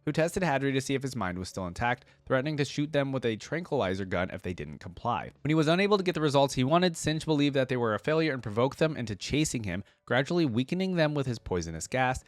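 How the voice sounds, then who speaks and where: A man's voice: medium pitch, big pitch swings, fast talker, hardly any pauses, no room sound. A man hosting a reaction video, reading game lore aloud and commenting on it in an informal, slangy way. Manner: informal, slangy asides between read passages.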